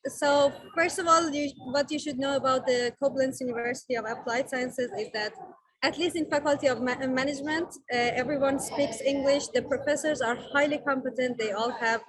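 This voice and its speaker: A woman's voice: high voice, brisk, husky, rough recording. A woman who is a foreign student, telling prospective exchange students about her university over a video call and stopping now and then to search for a word. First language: not German. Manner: friendly, searching for words with fillers. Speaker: international student. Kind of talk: presenting at a virtual fair.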